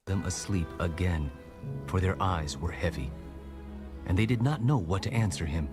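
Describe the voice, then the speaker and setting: A low-pitched man narrates scripture with solemn gravity. His storytelling is measured and scripted, as in an audio Bible. Music runs clearly behind his voice. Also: lively intonation.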